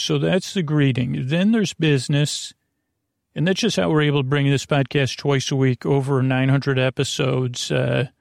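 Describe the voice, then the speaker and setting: A man hosting a sleep podcast in a meandering, halting monologue, drifting into digressions and whimsical imagined exchanges. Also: mid-range voice, gravelly, a little mellow, dry recording, clean studio sound. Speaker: podcast host.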